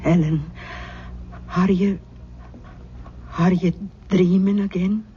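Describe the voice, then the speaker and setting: An actress playing an affectionate, homely older aunt in old-time radio drama, with a folksy, fussing manner. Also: female voice, fairly low pitch, fairly even intonation, slow. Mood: worried, gentle. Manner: folksy, fond, gently fussing. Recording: muffled, noisy vintage radio recording.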